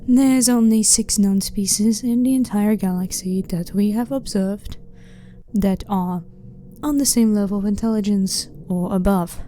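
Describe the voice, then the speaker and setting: A woman playing a blunt, curious alien scientist in a soft-spoken ASMR roleplay, speaking in a calm, clinical, slightly formal way. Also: medium pitch, a little slow, a little hiss or hum, lightly acted.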